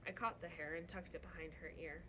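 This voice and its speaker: A woman reading a novel aloud as a first-person narrator, in an expressive storytelling style. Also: lowish voice, a little fast, background noise. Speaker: book reader.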